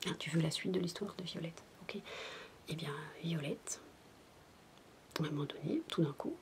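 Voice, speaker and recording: A woman whispers and softly speaks as a babysitter telling a bedtime story. She is breathy, chatty and a little hesitant, full of childlike wonder. The homemade recording carries some faint noise. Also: deep voice, normal speed. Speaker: ASMR roleplayer playing a babysitter. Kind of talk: soft-spoken ASMR bedtime storytelling. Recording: noisy homemade recording without binaural mic.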